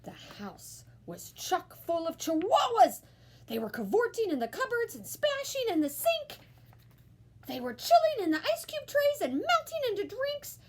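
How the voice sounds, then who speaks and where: A woman reading a children's storybook aloud with expressive, theatrical, emphatic narration. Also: high voice, a little hiss or hum. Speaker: school staff member reading aloud.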